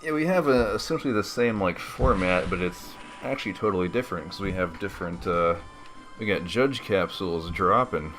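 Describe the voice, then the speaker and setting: Low-voiced man rambling through game commentary. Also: brisk, a bit bright, faint music behind. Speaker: let's play host.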